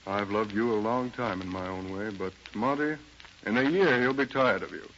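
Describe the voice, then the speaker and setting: A man's voice: fairly low pitch, moderate pace, fairly bright tone. Suave man about town, old radio. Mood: wounded, cautioning. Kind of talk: 1930s radio drama acting. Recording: dull, noisy 1930s radio recording.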